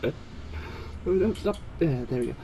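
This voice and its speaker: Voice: mid-range voice, lively intonation, a little slow, warm tone, slight background noise. Someone reading fan fiction aloud in a casual, informal way, stumbling over words and correcting themselves.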